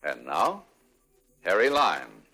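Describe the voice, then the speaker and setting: A man's voice: low voice, huge pitch swings, takes it slowly, gravelly, sharp tone. A man announcing a 1950s radio drama. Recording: vintage radio recording, music behind.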